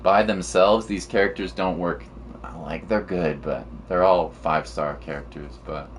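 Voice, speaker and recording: Low-voiced man casually commentating gameplay. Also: fairly even intonation, unhurried, slight background noise. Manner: rambling, informal. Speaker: game streamer. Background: game music.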